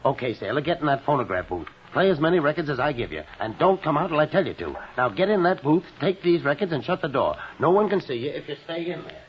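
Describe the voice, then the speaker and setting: An actor playing a hesitant but helpful American shopkeeper in a 1940s radio drama. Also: male voice, on the high side, sing-song, quick pace, hardly any pauses. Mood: hesitant, then firm. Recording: noisy, dull 1940s radio recording.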